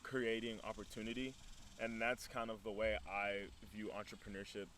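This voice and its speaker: A man's voice: lowish voice, flat delivery, moderate pace, a bit bright. A man, chatting casually and a bit hesitantly as an interview guest. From Burundian background, grew up in Texas. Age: early 20s. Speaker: interview guest, student entrepreneur. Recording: clear background noise.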